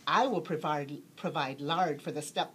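A woman voicing a sly, courtly gentleman fox with sandy whiskers, reading from a storybook with genteel, emphatic politeness. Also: sits low, moderate pace, slight background noise, lightly acted. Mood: hospitable, coaxing.

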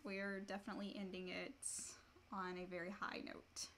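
A woman in her thirties co-hosting a casual live chat in brief, low-key remarks, recorded on a device in a small room. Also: completely flat delivery, moderate pace, a bit bright, slight background noise. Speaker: podcast co-host and producer.

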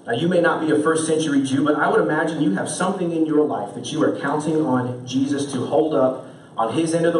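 A man's voice: medium pitch, darkish tone, slight background noise. A young man preaching a sermon at a stand mic on a church stage, earnest and full of rhetorical questions. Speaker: pastor. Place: church stage with band gear, indoors. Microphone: stand mic, far camera. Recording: roomy church sound from far off.

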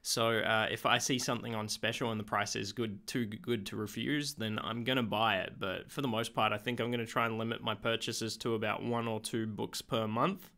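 A young man, slightly hoarse from being sick, chatting in a relaxed, rambling way with lots of ums in a video diary at home. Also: lowish voice, fairly quick, hardly any pauses. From Australia. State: slightly hoarse, getting over an illness. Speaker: vlogger.

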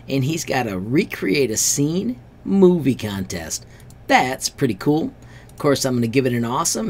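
A man's voice: mid-range voice, a little hiss or hum. A middle-aged American man narrating an upbeat screen-share tutorial in an enthusiastic, friendly way as an online host. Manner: enthusiastic, friendly sign-posting to viewers.

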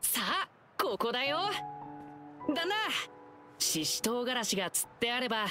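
A woman's voice: a little high, animated, measured pace, over music. A woman voicing a cheerful, eager young male lion dancer in a video game, with a friendly, earnest, boyish manner.

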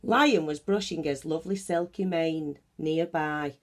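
Woman, children's story reader, slightly low voice, very unhurried, animated, picture-book narration. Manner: animated, deliberate, acts out sound effects. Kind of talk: expressive picture-book read-aloud. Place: small bedroom at home, indoors.

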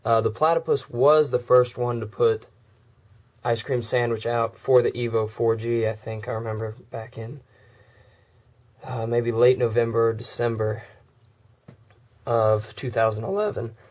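A man with a cold, his voice going hoarse, casually walking through a phone's software and filling gaps with uhs and ums. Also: medium pitch, unhurried, long pauses. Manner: relaxed, many "uh" and "um" fillers. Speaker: tech video creator. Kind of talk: casual screen demo walkthrough.

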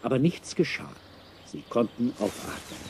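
An actor narrating an adventure tale in a scripted children's audio drama. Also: male voice, medium pitch, animated, moderate pace, noisy background, close to an everyday voice. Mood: measured storytelling.

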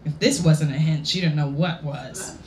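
A woman author reading a story aloud to a live audience, playful and theatrical, with dramatic pauses. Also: deep voice, a little mellow. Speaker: author reading own work. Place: indoor lounge at a public reading event. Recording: roomy live venue recording with background noise.

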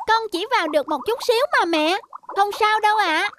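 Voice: extremely high pitch, fairly quick, noisy background. An actor voicing an eager, naive young fish child in a children's cartoon, wide-eyed and pleading in manner. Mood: reassuring, carefree. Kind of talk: animated children's cartoon dialogue. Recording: children's music behind dialogue.

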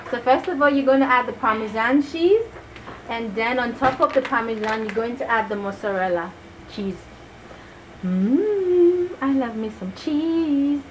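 Young female vlogger, highish voice, enthusiastic casual cooking commentary, kitchen noise behind. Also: lively intonation, a little slow, rough recording. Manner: enthusiastic, chatty. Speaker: vlog host. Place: small home kitchen, indoors. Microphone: camera microphone. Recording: clear kitchen noise, camera mic. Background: kitchen cooking ambience.

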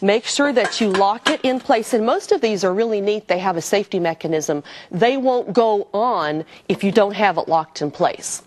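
A middle-aged woman hosting a cooking show, explaining a demonstration in her kitchen to the viewer in an upbeat way. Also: medium pitch. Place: home-style kitchen studio.

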